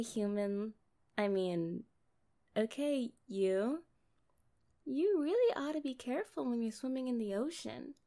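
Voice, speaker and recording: A high, very animated young female mermaid acted by a woman talks directly to the listener in an ASMR roleplay. She is kind, naive and playful, taking her time with drawn-out, chatty phrases. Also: full character voice. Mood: casual, gently chiding.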